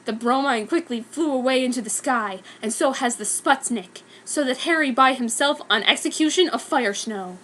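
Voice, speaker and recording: A woman's voice: sits high, moderate pace, hardly any pauses, fairly bright tone. A woman giving a dramatic, mock-serious reading of a scripted story as narrator. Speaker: reader of a fan story.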